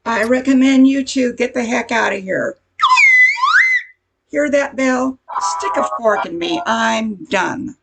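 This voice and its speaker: A woman playing a worn-out diner waitress in an amateur comic radio sketch, blunt, sarcastic and gravelly. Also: fairly high pitch, takes it slowly, very noisy. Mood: weary, sarcastic. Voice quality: gravelly, husky.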